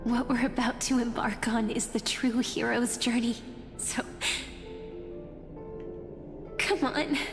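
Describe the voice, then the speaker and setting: A young video game heroine with a high voice, gentle and teasing yet brave and wistful. Her words ring in long reverb over music. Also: fairly even intonation, measured pace. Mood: tender, encouraging.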